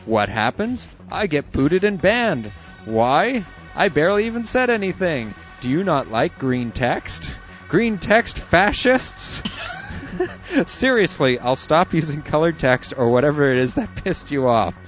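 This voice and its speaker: A man's voice: fairly high pitch, very animated, measured pace. A man co-hosting an internet radio show, reading listener emails aloud with chuckles and asides in casual chat. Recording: dull lo-fi audio with faint music.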